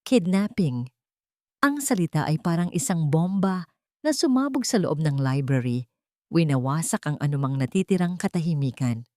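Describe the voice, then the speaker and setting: A woman reading a scripted love drama as a narrator, with emotive, deliberate phrasing. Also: deep voice, darkish tone, dry recording, clean studio sound. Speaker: story narrator.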